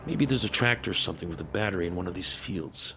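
An actor playing a weary, wry veteran soldier in an audio drama, speaking in terse phrases. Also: male voice, low-pitched, animated, quick pace, pushed and bright, slight background noise, heavily acted. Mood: wary, wry. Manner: terse, muttering to himself.

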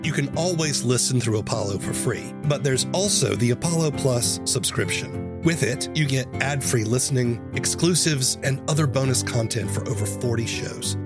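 A man's voice: medium pitch, normal speed, hardly any pauses, loud music behind the voice. A man hosting a podcast in a scripted, presenting style as he introduces and interviews. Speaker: podcast host.

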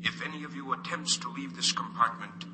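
An actor playing a cold, formal alien invader officer in a 1950s radio drama, speaking in a measured, unemotional way. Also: male voice, medium pitch, close to an everyday voice. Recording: old radio recording with long reverb and hiss.

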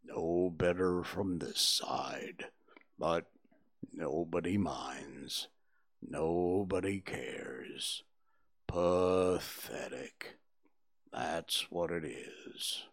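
A man voicing a gloomy old gray male donkey, speaking slowly and mournfully in a low, breathy, half-whispered voice. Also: heavily acted. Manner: slow, mournful, sighing.

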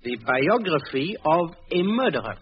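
A muffled, noisy old radio recording of a fussy, courteous detective with a French accent. His voice is fairly high for a man and swings in pitch as he probes with theatrical politeness. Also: sing-song, unhurried. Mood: probing. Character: fussy, courteous, probing male detective with orderly mind. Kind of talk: 1940s radio acting.

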